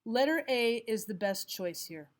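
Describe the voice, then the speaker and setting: A woman narrating a scripted lesson as a teacher, reading items aloud in a measured, instructional way. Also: a little high, animated. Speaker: English grammar teacher.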